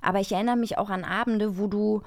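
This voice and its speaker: A woman's voice: medium pitch, fairly even intonation, fast, no room sound, clean studio sound. A woman chatting casually as a co-host, with an emphatic, effusive way of talking. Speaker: podcast co-host. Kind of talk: casual chat.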